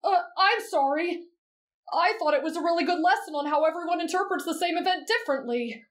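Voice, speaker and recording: A woman voicing a gentle, earnest, patient teenage boy in an anime bedtime-story roleplay. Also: very high-pitched, a little fast. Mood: earnest, kindly.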